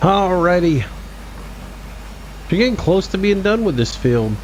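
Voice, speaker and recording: A man's voice: high voice, very animated, unhurried, slight background noise, poor audio. A man in his forties giving casual, laid-back gameplay commentary as a streamer, chatting back to his viewers. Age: 40s. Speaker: game streamer.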